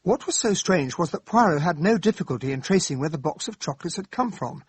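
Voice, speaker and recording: Detective's sidekick for radio drama male actor: English, earnest and loyal, high-pitched for a man, very expressive. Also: quick pace, a little hiss or hum, natural voice. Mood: concerned, recounting. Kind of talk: radio drama acting and narration.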